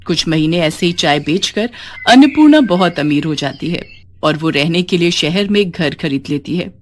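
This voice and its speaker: A woman narrating a scripted moral story with expressive storytelling. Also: lowish voice, slight background noise.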